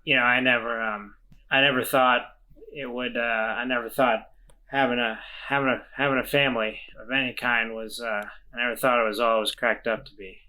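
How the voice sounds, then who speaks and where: A man's voice: mid-range voice, little pitch movement, a little fast, sharp tone, poor audio. A man acting an earnest, protective companion in an actual-play game, pleading and stammering. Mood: wistful, resolute.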